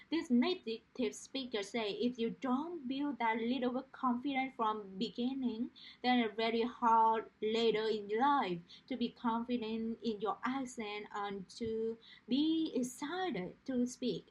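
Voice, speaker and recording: A woman's voice: fairly high pitch, highs cut off. Romanian-accented woman teaching pronunciation. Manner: earnest, explanatory, uses everyday analogies. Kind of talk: direct-to-camera teaching monologue.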